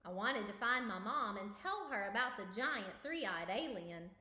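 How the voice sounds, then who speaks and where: A woman's voice: mid-range voice, expressive, unhurried, sharp tone. A woman voicing a moody, indignant young boy cow in a children's storybook, dramatic and emphatic. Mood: put out. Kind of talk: children's storybook read-aloud. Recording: roomy, echoing indoor recording.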